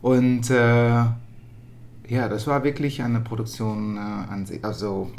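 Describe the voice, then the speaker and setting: A man from the Netherlands who speaks German as a second language with a Dutch accent, chatting in an interview as a musical performer, animated and full of restarts and fillers. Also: mid-range voice, slow pace. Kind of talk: casual interview chat.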